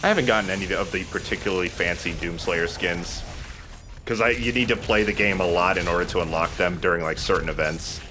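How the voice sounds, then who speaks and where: A man's voice: low-pitched, fairly quick, noisy background. A man hosting a let's play, giving casual commentary while he plays. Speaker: let's play host and player.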